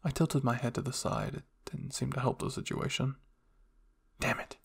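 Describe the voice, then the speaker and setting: Gravelly man narrating a story. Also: medium pitch, steady tone, moderate pace. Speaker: fan fiction narrator. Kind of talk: first-person story reading, ASMR roleplay.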